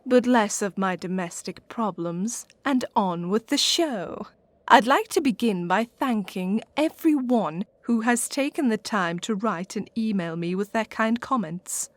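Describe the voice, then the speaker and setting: A woman's voice: medium pitch, lively intonation, unhurried, no room sound, lightly acted. A woman with an English accent playing a haughty, theatrical lady witch who hosts a radio sitcom in an arch, bossy way. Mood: grand, droll. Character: haughty, theatrical lady witch hosting from her haunted castle. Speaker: host.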